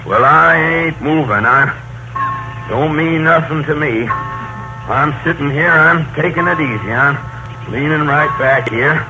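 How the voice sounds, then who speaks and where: A man playing a grumpy, put-upon but soft-hearted old Santa Claus with folksy turns of speech, in 1930s radio comedy style. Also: on the high side, measured pace, lo-fi sound, over music. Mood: sulky, stubborn. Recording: noisy 1930s radio broadcast recording.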